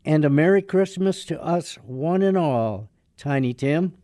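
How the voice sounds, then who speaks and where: A man's voice: a little high, animated, unhurried, warm tone, no echo, studio quality. A man playing a decent, wry and somewhat hesitant young man in a radio drama. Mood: jolly. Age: young adult. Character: decent, gloomy young man under his father's thumb. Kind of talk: radio drama acting and narration.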